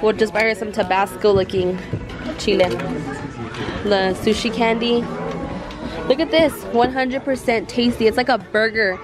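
A woman's voice: fairly high pitch, fairly even intonation, normal speed, long pauses, over music. Young woman vlogger, casual on-the-go chatter to viewers, handheld camera. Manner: chatty, filler-heavy, talks to viewers and kids. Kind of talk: casual vlog narration. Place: in a car, then outdoors in a village.

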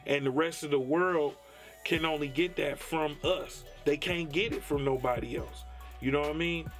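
A man talks reflectively, pausing with fillers as he thinks. His voice sits a bit high. A faint beat plays behind him. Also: a bit bright, soft background music. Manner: thoughtful, hesitant. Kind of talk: documentary interview.

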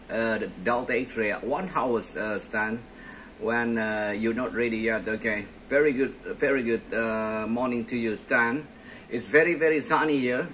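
A man's voice: mid-range voice, fairly bright tone. A man with an Indonesian accent working as a ham radio operator, chatting and repeating his phrases. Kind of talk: ham radio contact chat. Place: indoors at a radio set. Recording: faint hiss beside radio set.

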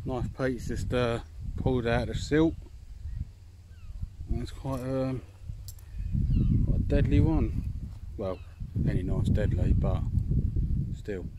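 A man's voice: mid-range voice, slow, pauses often. A man giving casual commentary to camera. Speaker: magnet fishing vlogger. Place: outdoors by a pond. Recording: faint, distant, very noisy outdoors.

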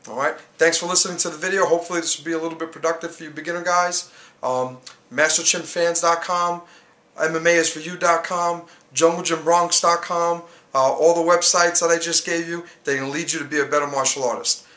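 A man's voice: a little high, steady tone, brisk, bright, forceful tone, roomy sound. A man in his thirties with a New York accent, a martial arts instructor coaching beginners straight to camera in an earnest, emphatic way. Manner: earnest, emphatic, gestures with hands. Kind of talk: direct-to-camera coaching talk. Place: small indoor studio, whiteboard behind.

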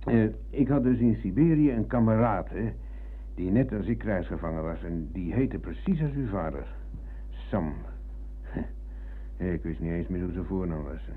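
An actor playing a forgetful old war veteran in a radio play, husky and halting as he rambles. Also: low voice, lively intonation, a little hiss or hum. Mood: reminiscing. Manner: hesitant, halting, peppering talk with tag questions. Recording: thin, phone-like old radio recording.

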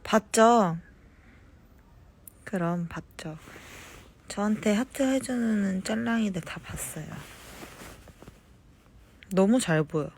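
A young woman chatting casually on a phone livestream, reading fan comments and answering them softly, at times slipping into whispers. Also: medium pitch, slight background noise. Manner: slow, soft-spoken, drifts into whispers. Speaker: idol livestreaming to fans.

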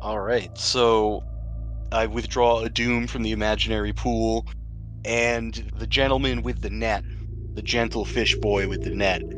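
A man's voice: lowish voice, fairly even intonation, measured pace, a bit bright. A man acting as game master, chatting casually and narrating a tabletop game with friends. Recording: music playing behind the voice. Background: music.

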